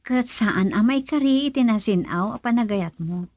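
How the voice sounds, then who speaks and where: A caring young female friend with an Ilocano Filipino accent and a slightly high voice. She consoles and coaxes in radio drama style, recorded close and dry. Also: lively intonation, moderate pace, a little mellow, dry recording. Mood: urging, scolding.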